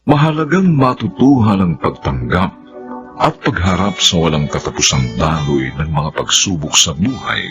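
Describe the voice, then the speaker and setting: A man's voice: low voice, very animated, moderate pace, highs cut off, music in the background. A man narrating and announcing a radio drama in a dramatic scripted style.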